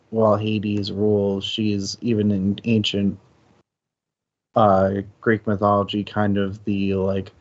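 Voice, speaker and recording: Deep, even-toned man, slow and conversational. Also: lo-fi sound. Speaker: book discussion host. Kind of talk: casual book discussion.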